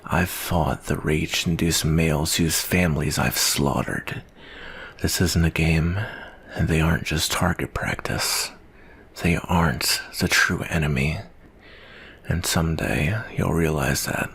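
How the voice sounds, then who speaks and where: A man playing a jaded, guilt-ridden monster-hunting soldier in an ASMR roleplay monologue, speaking in a slow, brooding, weary way with a gravelly, husky voice. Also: extremely low pitch, fairly bright tone, exaggerated voice. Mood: weary, warning.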